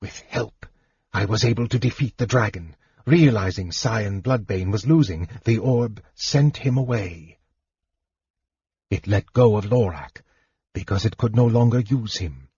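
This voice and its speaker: A man voicing a frail young male mage in a fantasy audiobook, quiet, terse, cool and secretive. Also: lowish voice, a little slow, breathy edge, dry recording, dull audio, exaggerated voice. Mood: guarded, weary. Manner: quiet, terse, faint delivery.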